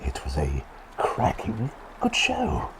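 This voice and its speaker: A man voicing a kindly, forgetful doctor turned talking bird in a gentle ASMR roleplay, breathy and half-whispered, often hesitating and repeating his phrases. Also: on the low side, huge pitch swings, slow, very noisy, full character voice. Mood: playful. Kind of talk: ASMR roleplay with personal attention.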